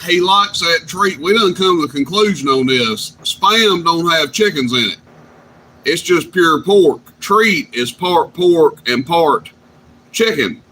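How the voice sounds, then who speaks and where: A man's voice: on the high side, expressive, takes it slowly, sharp tone. A man teasing and joking with a friend on CB radio. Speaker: CB radio operator. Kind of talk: casual radio banter. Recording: noisy CB radio transmission.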